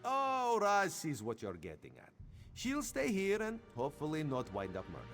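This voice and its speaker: Vain playful cat man from a video game, theatrical n really animated, bit of music under it. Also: on the high side, moderate pace, exaggerated voice. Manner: theatrical, self-assured, refers to himself by name. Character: vain, playful male cat-man agent speaking in third person. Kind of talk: video game character dialogue. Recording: music behind the voice.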